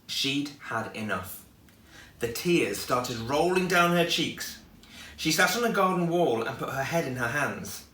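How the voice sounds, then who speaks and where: A man reading a children's story aloud in an expressive storytelling style. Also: mid-range voice, measured pace. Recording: echoey room, distant microphone.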